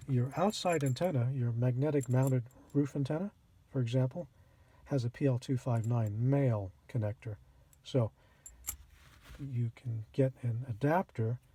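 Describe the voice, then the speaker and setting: Mellow, husky man giving a how-to. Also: medium pitch. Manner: plain-spoken, explanatory, step by step. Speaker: host of a radio how-to channel. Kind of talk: casual instructional product demonstration.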